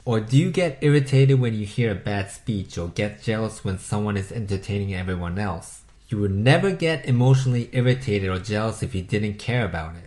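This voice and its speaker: A man's voice: on the low side, a little mellow. Japanese-accented man guiding self-reflection. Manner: measured, instructive, poses questions to the listener. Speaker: self-help video host. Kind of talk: scripted motivational voice-over.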